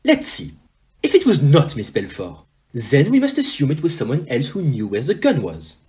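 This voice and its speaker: An actor playing a shrewd, courteous detective in an audio play, with a deliberate, pompous manner. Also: male voice, mid-range voice, huge pitch swings, darkish tone, lo-fi sound. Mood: probing. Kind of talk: amateur audio play acting.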